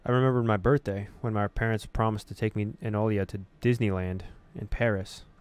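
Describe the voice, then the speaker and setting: A man's voice: on the low side, fairly even intonation, a little fast, darkish tone, clear, resonant tone. A man hosting a gaming video, reading on-screen story text aloud in a dramatic way with casual reactions.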